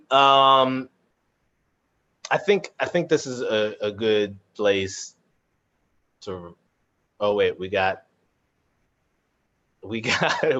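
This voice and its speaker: A man casually moderating a panel chat, informal and halting as he thinks aloud. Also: mid-range voice, fairly bright tone, highs cut off. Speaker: cast member moderating a live audience Q&A.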